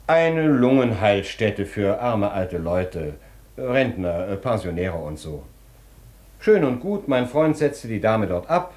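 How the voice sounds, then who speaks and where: An obsequious hotel porter in a radio play, sounding roomy and reverberant. His voice is fairly low. He talks deferentially, with insinuating, gossipy turns. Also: very animated, slow, darkish tone. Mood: confiding, insinuating. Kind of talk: German radio play acting.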